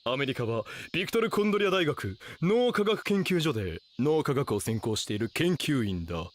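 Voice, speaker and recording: An actor playing a pompous, delusional self-styled mad scientist in a Japanese anime radio drama, with a theatrical, scoffing delivery. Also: male voice, medium pitch, big pitch swings, normal speed, lightly acted. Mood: grandiose.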